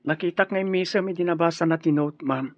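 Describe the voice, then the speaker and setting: A man's voice: a little high, brisk, dry recording, natural voice. A man playing an earnest, deferential young suitor pleading for peace in a radio drama. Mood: respectful. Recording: muffled, lo-fi radio drama audio.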